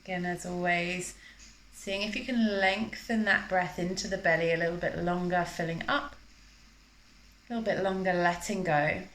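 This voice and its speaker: A woman teaching yoga, guiding a breathing practice in a calm, measured, instructional manner during a live class. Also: lowish voice. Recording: roomy, echoing live class recording.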